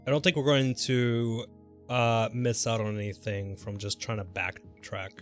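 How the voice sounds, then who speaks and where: A man livestreaming a video game, chatting casually and thinking aloud in a halting, mildly sweary way. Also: on the low side, level tone. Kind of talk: casual gameplay commentary and rambling chat. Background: music.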